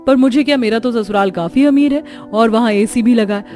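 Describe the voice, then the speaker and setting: Woman acting haughty young cartoon sister, high mellow voice, dramatic, self-absorbed. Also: fairly quick, soft background music, natural voice. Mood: boastful. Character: haughty young rich-married sister, boastful or self-pitying.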